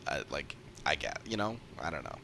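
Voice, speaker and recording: A man's voice: a bass voice, big pitch swings, normal speed, bright, forceful tone. A man giving casual, off-the-cuff livestream commentary as a game streamer, emphatic and repeating points for stress.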